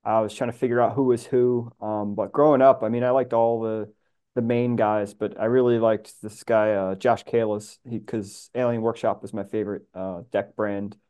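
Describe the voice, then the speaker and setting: A man's voice: lowish voice, flat delivery, normal speed, dark tone, smooth. A middle-aged American man from New York chatting casually about his own experiences in an informal conversation. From New York, USA. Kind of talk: casual chat.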